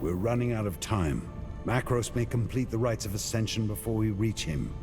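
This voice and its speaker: Man playing a grim, low-voiced warrior hero. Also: normal speed, music in the background, lightly acted. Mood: grim resolve. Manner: terse, resolute. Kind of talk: video game cutscene acting.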